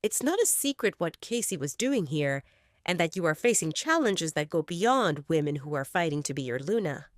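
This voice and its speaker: A woman voicing a calm, persuasive male alpha of a rival pack who talks in a measured, reasoning way. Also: sits low, no room sound, clean studio sound.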